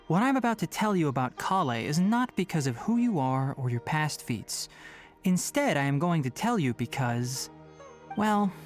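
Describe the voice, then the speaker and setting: Calm scholarly young forest ranger, lively intonation. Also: male voice, fairly high pitch. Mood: earnest, measured. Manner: articulate, measured, explanatory. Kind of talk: scripted video game dialogue. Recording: music behind the voice.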